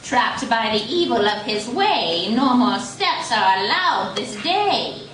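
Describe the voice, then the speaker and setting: A woman's voice: fairly high pitch, big pitch swings, fairly bright tone, a little hiss or hum, full character voice. A woman playing an imperious, righteous old witch chanting spells in theatrical radio-serial style. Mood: imperious incanting. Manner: theatrical incantations, drawn-out. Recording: echoey live coffee house, distant mic.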